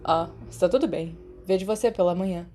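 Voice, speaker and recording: A woman's voice: lowish voice, fairly quick, a little mellow, faint music behind, natural voice. A woman voicing a modest, guarded teenage schoolboy in an audiobook, polite and casually understated. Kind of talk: audiobook character voice in Brazilian Portuguese.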